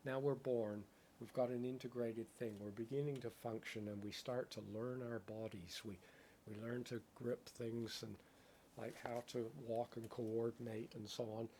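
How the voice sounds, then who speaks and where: A man's voice: medium pitch, gravelly, warm tone, a little hiss or hum. A man teaching in a contemplative dharma talk. He speaks in poetic phrases and often checks in with "right?". English is his first language. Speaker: Buddhist meditation teacher.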